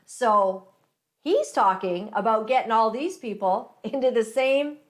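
A woman's voice: on the high side, measured pace. A woman hosting an interview, talking in a chatty, enthusiastic way and laughing often. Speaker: podcast host. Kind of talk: interview chat.

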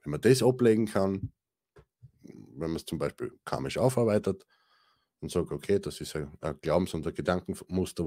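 A man's voice: sits low, mellow. A man co-hosting a spiritual podcast, rambling in colloquial talk with many restarts. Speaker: podcast co-host. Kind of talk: casual spiritual chat.

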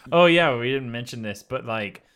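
A man's voice: mid-range voice, lively intonation, normal speed, fairly bright tone, clean tone. A man co-hosting a podcast, chiming in with jokes and quips while recapping a movie. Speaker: podcast co-host. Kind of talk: casual movie recap chat.

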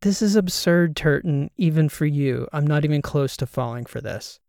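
A man's voice: fairly high pitch, animated, normal speed, gravelly, a little mellow, no echo. A man voicing a skeptical, exasperated woman podcast co-host in an audiobook, with a dry, sarcastic manner. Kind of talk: audiobook character dialogue.

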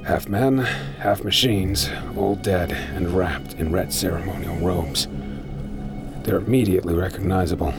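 A man voicing a grim, deep-voiced pirate. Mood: brooding. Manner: grim, measured first-person narration. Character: hardened male pirate scavenger, brooding. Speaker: narrator and lead character. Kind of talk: audio drama narration. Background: loud music.

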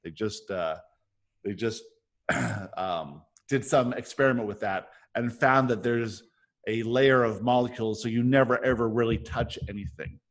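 A man's voice: medium pitch, a little slow. A man hosting a discussion in a casual, explanatory way, as if thinking his points through aloud. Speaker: host of a book club discussion. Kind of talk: casual spiritual self-help discussion with some reading aloud.

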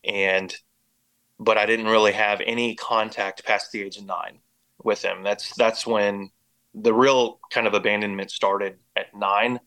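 A man's voice: lowish voice, little pitch movement, unhurried, pushed and bright, rough recording. An American man giving personal testimony as an interview guest, speaking in an earnest, reflective way. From Indiana and Oklahoma, United States. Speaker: podcast guest.

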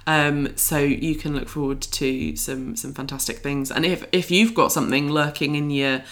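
A woman's voice: low-pitched, steady tone, moderate pace. A woman hosting an arts podcast in casual chat, with a playful, wryly joking manner.

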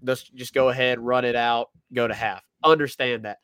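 A man's voice: medium pitch, steady tone, normal speed, a bit bright, smooth, no echo, studio quality. A man with a stuffy nose from a cold, co-hosting a casual sports talk show and thinking aloud with lots of restarts. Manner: rambling, self-correcting, thinking aloud. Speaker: podcast co-host.

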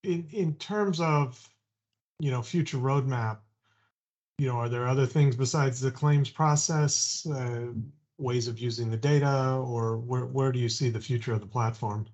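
A man's voice: medium pitch, measured pace, a little mellow. A man hosting an interview, asking conversational questions that often restart mid-sentence. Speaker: podcast host. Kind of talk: interviewing a guest. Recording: dull lo-fi audio.

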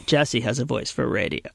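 Chatty, laughing man with a gravelly edge. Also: medium pitch, lively intonation, unhurried, no echo, studio quality. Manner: chatty, laughs often, restarts sentences. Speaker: podcast co-host. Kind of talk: casual podcast chat.

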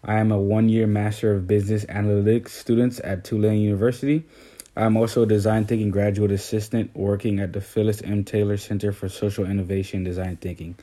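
A young man from Haiti hosts a podcast in a low, mellow voice. He reads steadily and pauses now and then on small fillers. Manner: steady, slightly halting with fillers. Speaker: podcast host, graduate student. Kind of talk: semi-scripted podcast hosting.

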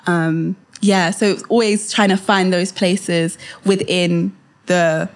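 A woman's voice: on the low side, fairly even intonation, takes it slowly. A young woman from Kenya, talking through her past as a podcast guest, reflective and full of fillers and restarts. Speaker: guest filmmaker. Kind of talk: casual interview chat.

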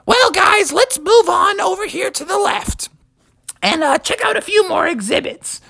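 A man voicing a cheerful mother bear with a high, gentle voice, in a spoken bedtime-style story. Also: expressive, measured pace, vocal fry, dry recording, heavily acted.

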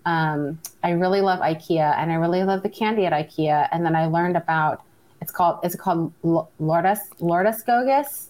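A woman hosting a tech podcast in a loose, teasing way. Her voice sits a bit low for a woman, and she keeps the chat light and playful. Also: moderate pace, darkish tone. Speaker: podcast host.

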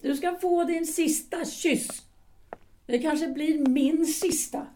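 A woman's voice: high-pitched, fairly even intonation, dark tone, close to an everyday voice. A woman playing a bitter, cold wife. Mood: sardonic. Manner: cool, dry, cutting. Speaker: Alice. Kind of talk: audio drama acting.

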